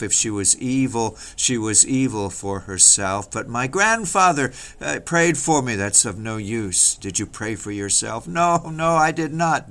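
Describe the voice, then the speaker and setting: A man's voice: mid-range voice, bright, forceful tone, smooth. Solemn man preaching a dramatic sermon. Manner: solemn, declamatory, rhetorical. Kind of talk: dramatic hellfire sermon, old-fashioned scripted oratory.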